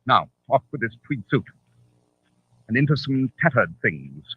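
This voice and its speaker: An actor playing a brisk, haughty English gentleman detective with a British accent, clipped and authoritative, in an old radio drama. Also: mid-range voice, big pitch swings, breathy edge, full character voice. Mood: resolute. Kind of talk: 1940s radio acting. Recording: narrow, tinny old radio recording.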